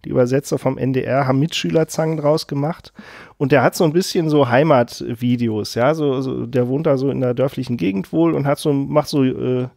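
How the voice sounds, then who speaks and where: A man's voice: mid-range voice, fairly even intonation, brisk, vocal fry, darkish tone, dry recording, studio quality. A man hosting a casual podcast, rambling through a story with many fillers and frequent laughs. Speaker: podcast host.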